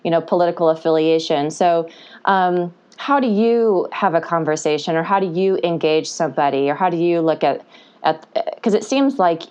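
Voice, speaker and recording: A woman's voice: lowish voice, normal speed, darkish tone, smooth, lo-fi sound. A woman hosting a podcast interview, asking questions in a friendly, hesitant way with many ums and affirming sounds. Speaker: podcast host.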